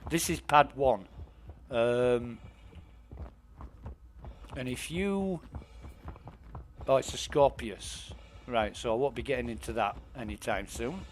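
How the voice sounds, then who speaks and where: A man's voice: mid-range voice, takes it slowly, long pauses. A man from England with a Yorkshire accent, streaming a space game and patiently talking a friend through where to land, step by step. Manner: patient, explains directions step by step. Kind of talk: casual gaming chat with friends.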